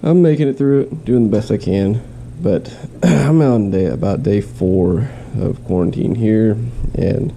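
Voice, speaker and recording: A man with a stuffy nose and a slight cough talks in a deep, gravelly voice with a very dark tone. He is nasal and rambling as he runs through his gear at home. Faint noise sits behind him. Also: unhurried, few pauses. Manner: rambling, with restarts. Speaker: fishing vlogger. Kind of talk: casual show-and-tell. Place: home, in quarantine.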